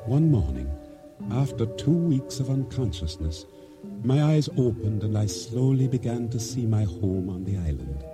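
An actor playing a reflective, humble island boatman who tells his story in a measured radio drama narration. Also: mid-range voice, big pitch swings. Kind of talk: dramatic first-person radio play narration. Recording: radio drama with music underneath.

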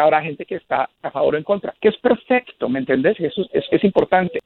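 A man's voice: high voice, no echo, narrow, tinny audio. A middle-aged man with a Colombian accent, telling his story conversationally as an interview guest, emphatic and often checking in with rhetorical questions. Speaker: interview guest, homeopathic doctor.

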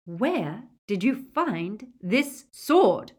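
A woman voicing an unhinged, imperious villainous witch in an audiobook, sharp and commanding. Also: mid-range voice, very animated, very slow, husky, voice pushed up, exaggerated voice. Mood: threatening.

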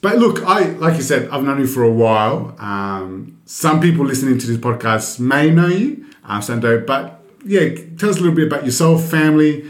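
A man hosting a casual podcast interview, relaxed and jokey, laughing easily. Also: mid-range voice, moderate pace, echoey room. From Central America. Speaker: podcast host.

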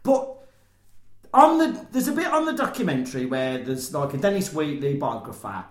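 A man's voice: a little high, very animated, moderate pace, roomy sound. A man co-hosting a casual film podcast, opinionated and emphatic, swearing freely. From Britain. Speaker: podcast co-host.

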